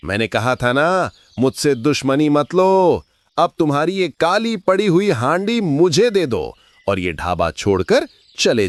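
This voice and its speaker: A man playing an arrogant, greedy rich merchant villain who mocks and bullies in an animated moral story. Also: on the high side, dry recording. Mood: gloating, mocking. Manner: mocking, bullying, gloating.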